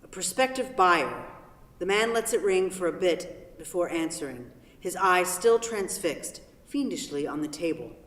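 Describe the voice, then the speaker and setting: A woman's voice: lowish voice, big pitch swings, measured pace, fairly bright tone. A woman reading scripted stage directions as the narrator of a staged play reading. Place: theatre, recorded from far off. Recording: echoey hall, distant microphone.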